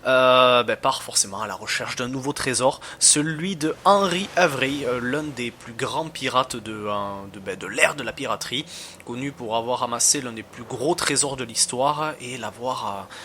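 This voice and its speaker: A man giving casual, halting commentary as he plays and reviews a game. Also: medium pitch, pushed and bright, a little hiss or hum. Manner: hesitant, halting.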